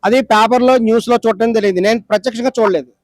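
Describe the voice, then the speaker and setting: A man's voice: high voice, steady tone, racing, dry recording. A man arguing insistently in a heated phone-in debate, repeating his points over and over for emphasis. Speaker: debate guest. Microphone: phone call.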